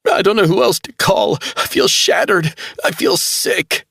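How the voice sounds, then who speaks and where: A man's voice: sing-song, moderate pace, fairly bright tone, breathy edge, no echo, studio quality, heavily acted. A man doing a distraught young man, pleading through tears. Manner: sobbing, pleading, high-strung.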